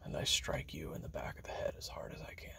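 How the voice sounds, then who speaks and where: A man playing a treacherous assassin in an audio roleplay, narrating in the second person with slow, hushed, intimate delivery. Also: lightly acted. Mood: cold, menacing. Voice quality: breathy whispering with gravelly fry. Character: treacherous male assassin recounting his betrayal to you.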